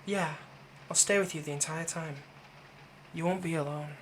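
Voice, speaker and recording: A man playing a possessive young fantasy villain in an ASMR roleplay, tenderly soothing a captive in a soft voice that often turns breathy. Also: fairly high pitch, fairly even intonation, moderate pace, a little hiss or hum, close to an everyday voice. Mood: gentle, reassuring. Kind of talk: ASMR roleplay, intimate scripted monologue.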